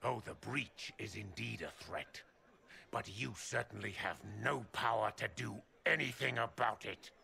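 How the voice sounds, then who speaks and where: An actor playing an arrogant, scornful templar commander in a fantasy game, speaking with haughty contempt. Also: male voice, on the low side, measured pace, creaky, sharp tone, a little hiss or hum, heavily acted.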